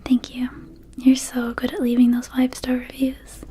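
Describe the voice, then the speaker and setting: A woman voicing a small, friendly vampire shopkeeper in an ASMR roleplay, whispering gently and haltingly to the listener as a close friend. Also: high-pitched, completely flat delivery, a little hiss or hum, natural voice. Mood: affectionate, sheepish. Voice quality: soft, breathy whisper. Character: small friendly female vampire shopkeeper, quirky, needy, affectionate. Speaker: ASMR performer.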